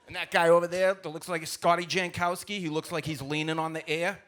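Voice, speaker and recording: Male stand-up, thick-of-Boston storyteller: bright, punchy, somewhat high voice, colloquial and exclamatory, live stage recording. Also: flat delivery, fairly quick. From South Boston, United States. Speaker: stand-up comedian. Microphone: stage microphone at a live show. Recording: live stage recording with audible background noise.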